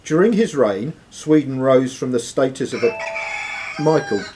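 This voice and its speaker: A man reads out quiz questions as the quizmaster. His pitch moves around in a lively way at a middling height. He is recorded live in a room with a faint layer of noise around him. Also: a little slow. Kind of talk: reading quiz questions aloud to contestants. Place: social club room with players around.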